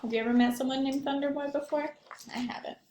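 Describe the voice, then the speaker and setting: A woman's voice: high-pitched, fairly even intonation, fast, a little mellow. A woman talking to young children during a read-aloud, putting questions to her listeners. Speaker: read-aloud teacher or librarian. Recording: echoey room.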